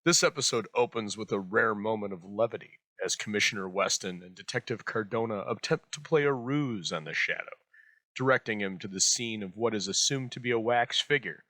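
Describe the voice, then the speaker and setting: Male host narrator: bright, forward tone, mid pitch, literary measured script, dry close sound. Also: dry recording. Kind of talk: scripted narration introducing vintage radio.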